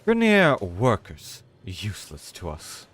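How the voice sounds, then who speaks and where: A man's voice: fairly low pitch, huge pitch swings, takes it slowly, fairly bright tone, heavily acted. Casting: man playing an imperious noblewoman of a fallen empire, dismissive and commanding, swooping delivery for dramatic story reading. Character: haughty, commanding aristocratic female executor of a fallen empire.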